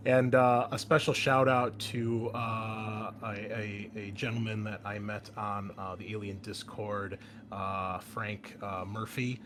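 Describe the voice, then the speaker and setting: Low-pitched man hosting, noisy recording. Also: level tone, takes it slowly. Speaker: host and game master. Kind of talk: casual chat introducing a tabletop game session. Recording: clear background noise.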